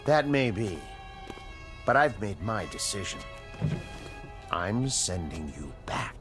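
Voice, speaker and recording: Slow, grave, righteous scientist. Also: male voice, fairly low pitch, sing-song, over music. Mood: grave, resolute. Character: earnest, stern scientist passing moral judgment on a killer. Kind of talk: old television drama acting.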